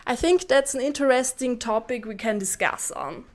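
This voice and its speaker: A woman's voice: fairly high pitch, moderate pace. A woman in her twenties with an Austrian accent, leaving a voice message with a prepared question in careful English. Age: 20s.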